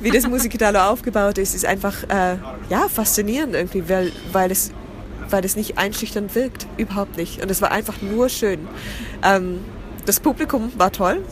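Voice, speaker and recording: A woman's voice: medium pitch, moderate pace, a crowd in the background. A woman, chatting in an interview, often restarting and searching for words. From southern Germany. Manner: searching for words, many restarts, drops into English. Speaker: guest, theatre company founder. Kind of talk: casual interview.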